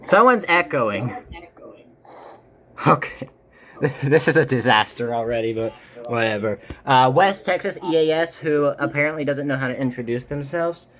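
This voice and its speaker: A host chatting informally and a little haltingly while unboxing items at a desk, with wry exasperation. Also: medium pitch, lively intonation, fairly bright tone. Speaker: host unboxing weather radios. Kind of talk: casual live chat and unboxing. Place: at a desk indoors. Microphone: desk microphone set a bit low. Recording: noisy desk microphone with clicks.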